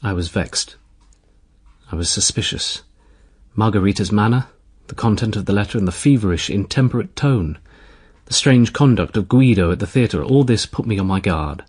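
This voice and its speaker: A man's voice: fairly low pitch, expressive, normal speed, a little mellow, breathy edge. An actor playing a troubled, guarded young churchman, earnest and agitated, in a radio drama. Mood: vexed, suspicious. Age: young adult. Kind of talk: first-person dramatic narration and dialogue.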